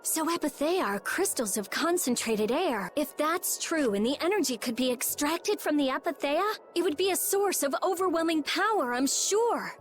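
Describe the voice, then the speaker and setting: An actress playing an earnest, excitable and anxious youngster in a video game. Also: female voice, high-pitched, moderate pace, few pauses, slight background noise. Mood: earnest, uncertain. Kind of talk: scripted video game voice acting. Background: game music.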